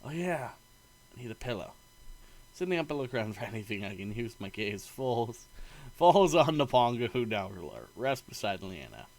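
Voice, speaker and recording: A man reading a visual novel aloud in an expressive, playful narrating style. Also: mid-range voice, normal speed.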